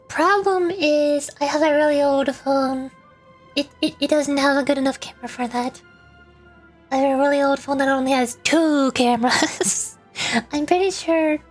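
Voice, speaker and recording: A woman VTuber chatting casually to livestream viewers in a rambling, playful way, often repeating words. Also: high voice. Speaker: VTuber streamer. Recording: background music. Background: music.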